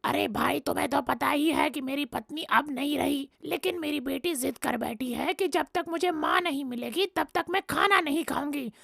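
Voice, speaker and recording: A woman voicing an emphatic, fretful crow father with a creaky voice in a children's cartoon. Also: high-pitched, normal speed, few pauses, fairly bright tone, no room sound, studio quality, voice pushed up, exaggerated voice. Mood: distressed. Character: troubled crow father, fretful, protective of his daughter.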